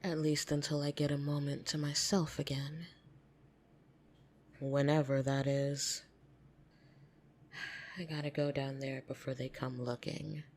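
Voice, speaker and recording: A woman voicing a lonely, flirtatious vampire in an ASMR roleplay, soft and breathy, teasing and intimate with hesitant pauses, tender yet easily irritated. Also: low-pitched, little pitch movement, a little hiss or hum. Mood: wistful, affectionate. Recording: soft piano music behind.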